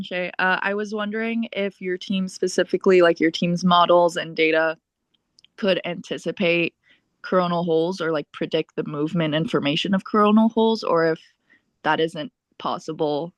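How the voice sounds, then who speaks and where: A woman's voice: low-pitched, no echo. A young woman in her twenties, a recent graduate, politely asking a question in a webinar, casual with fillers. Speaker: audience member asking a question. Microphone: video call.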